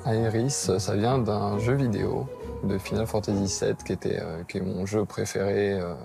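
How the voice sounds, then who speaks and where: A man's voice: lowish voice, steady tone, moderate pace, creaky, over music. A man in his thirties, an expectant father, talking in an easygoing way, chuckling and a little hesitant. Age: 30s. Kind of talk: casual interview chat.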